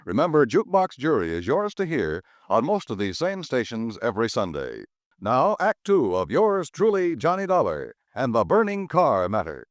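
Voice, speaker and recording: Casting: a man as a 1950s network announcer, very animated pitch, polished and dry. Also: normal speed, no room sound. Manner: smooth, polished announcer delivery. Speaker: radio announcer.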